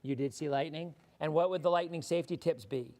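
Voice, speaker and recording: Hoarse male weather host, slightly high voice, loose and repetitive live-stream chatter. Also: fairly quick, a little mellow. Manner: chatty, often repeats words while thinking. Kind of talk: live-stream chat answering viewer questions.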